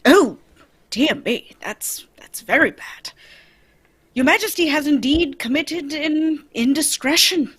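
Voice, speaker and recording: A woman playing a shrewd, courteous gentleman detective in a radio mystery, speaking formally and probingly. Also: sits high, lively intonation, slow, heavily acted. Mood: musing. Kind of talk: old-time radio mystery acting, read live.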